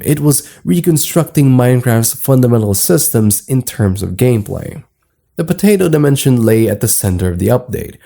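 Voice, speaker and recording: A man's voice: medium pitch, gravelly, dark tone. A man reading scripted narration for an explainer video in a formal, documentary-like manner.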